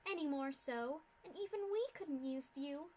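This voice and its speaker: A woman's voice: high-pitched, unhurried, darkish tone, voice pushed up, poor audio. A woman voicing a sadistic, mocking female pony scientist in a fanfic reading, with a theatrical, mock-polite sneer.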